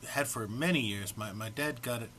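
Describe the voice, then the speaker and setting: A man's voice: medium pitch, moderate pace, a bit bright, a little hiss or hum. A man informally introducing and reading comic text aloud in a mock-solemn manner, struggling to keep from laughing. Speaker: amateur reader recording for an online post.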